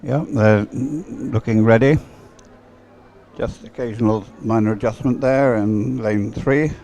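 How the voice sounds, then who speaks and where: A man calling a race live in a halting way, repeating words and dropping dry asides. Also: medium pitch, dark tone, rough recording. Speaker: race commentator.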